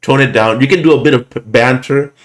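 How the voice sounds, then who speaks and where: Deep-voiced man from Puerto Rico. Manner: chatty, slightly halting English, playful. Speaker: show host, commentator. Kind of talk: casual interview.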